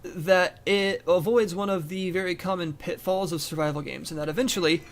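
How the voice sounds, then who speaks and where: A man giving casual gameplay commentary for a game review, his voice a little raspy and strained, talking in a loose, rambling way. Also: high-pitched, fairly even intonation, normal speed, slight background noise. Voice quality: rambling, with restarts and fillers.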